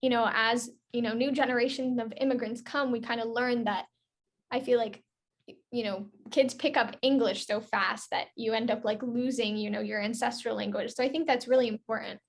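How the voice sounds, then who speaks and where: A woman's voice: a little high, steady tone, normal speed. A young woman interviewing casually, peppering her talk with "like" and "you know". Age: teenager to young adult. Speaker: youth interviewer.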